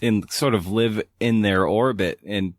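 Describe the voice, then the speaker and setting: A man with a fairly low voice hosts a conversational podcast interview. He phrases questions thoughtfully, finding the wording as he goes. The sound is very dry. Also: unhurried, no room sound.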